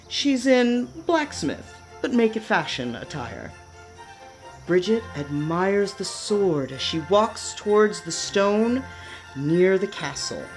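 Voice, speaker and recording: A woman's voice: mid-range voice, low-quality recording. A woman reading scripted stage narration for a radio play.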